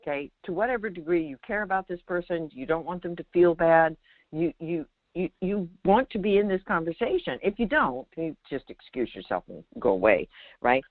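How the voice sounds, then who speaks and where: Spiritual teacher on a call-in, animated swooping delivery, emphatic and repetitive, thin telephone sound. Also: mid-range voice, normal speed, no room sound. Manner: emphatic, repeats phrases, checks in with "right?" and "okay?". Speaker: host, meditation teacher answering a caller. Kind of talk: spiritual guidance in a call-in conversation. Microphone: phone call. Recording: narrowband phone line sound.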